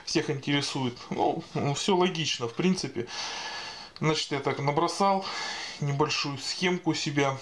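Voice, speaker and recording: A man giving an informal explainer voice-over as a video blogger, speaking in a halting, hesitant way. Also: a little high, fairly bright tone, slight background noise. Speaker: video blogger answering viewer questions.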